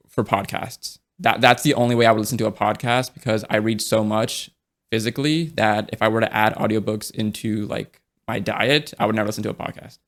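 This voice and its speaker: A young man with a low, gravelly voice talks loosely on a podcast. He rambles a little, leaning on fillers and restarting his sentences as he thinks aloud. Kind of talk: casual podcast conversation.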